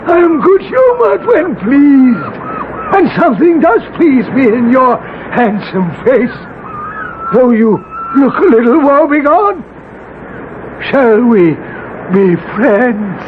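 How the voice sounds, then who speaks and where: An actor playing a gleeful, sly dwarfish demon who commands and tempts in a theatrical, old-fashioned radio drama manner. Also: male voice, very high voice, very animated, takes it slowly, heavily acted. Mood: coaxing, prying. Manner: theatrical, commanding, cackling, archaic speech. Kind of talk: 1970s radio drama acting. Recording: muffled, noisy old radio broadcast recording.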